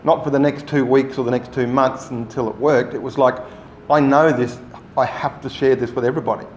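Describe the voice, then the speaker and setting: A man running a training workshop, giving relaxed, rambling instructions to the group. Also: mid-range voice, a little fast. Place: meeting room. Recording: echoey room, faint noise.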